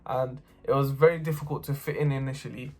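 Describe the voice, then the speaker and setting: Young man, student, steady monotone testimony, faint music under it. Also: mid-range voice, quick pace. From North London. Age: young adult. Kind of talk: personal testimony to camera.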